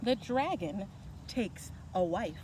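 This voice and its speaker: A woman's voice: mid-range voice, animated, takes it slowly. A woman who works as a children's storyteller, speaking casually and reading aloud for kids. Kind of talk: animated read-aloud storytelling and casual introduction. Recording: clear ambient background noise.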